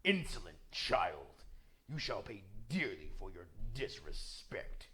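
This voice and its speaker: A man's voice: low voice, big pitch swings, slow pace, bright, forceful tone, slight background noise, full character voice. A man voicing a proud, solemn panda martial-arts villain from a video game, speaking formally and threateningly. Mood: stern threat.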